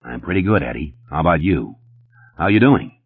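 A man voicing a calm, unruffled police captain who speaks quietly with restrained menace. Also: rumbling low, moderate pace, husky, no room sound, narrow, tinny audio, full character voice. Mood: quiet, grave.

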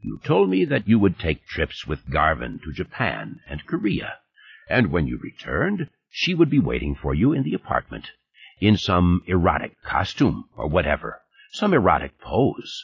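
A man's voice: low voice, huge pitch swings, normal speed, gravelly, breathy edge, muffled recording, exaggerated voice. A man voicing a sarcastic, irritable old man who needles with ironic questions and formal, slightly unidiomatic phrasing in an audiobook. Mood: needling.

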